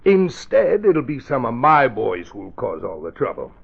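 Through a tinny old radio broadcast comes a gloating crooked boss, his voice husky and gravelly. He swings his pitch widely as he boasts and schemes. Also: huge pitch swings, a little slow, heavily acted. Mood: gloating, scheming. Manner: smug, boastful. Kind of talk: old-time radio drama acting.

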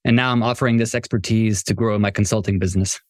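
A man from Morocco talking conversationally as a podcast guest, telling his own story as a software entrepreneur. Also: on the low side, fairly even intonation, fast talker, dry recording, studio quality.

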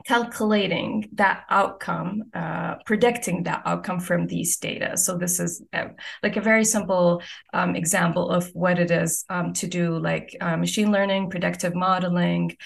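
A young woman from Saudi Arabia answers interview questions in a lowish, slightly gravelly voice. She explains her work patiently but haltingly, filling her pauses with frequent ums and uhs. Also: steady tone. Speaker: guest physician-scientist.

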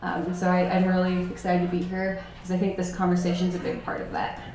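A woman's voice: lowish voice, completely flat delivery, fast, darkish tone, a little hiss or hum. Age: adult. Sex: woman. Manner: fluent, thoughtful. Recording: echoey room. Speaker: writer, trail runner, panelist. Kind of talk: panel self-introduction.

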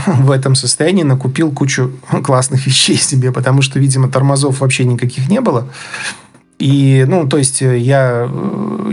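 A man from Belarus being interviewed, casually recounting his own experience in a halting way, searching for words. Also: mid-range voice, darkish tone. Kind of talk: casual spoken recollection. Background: background music.

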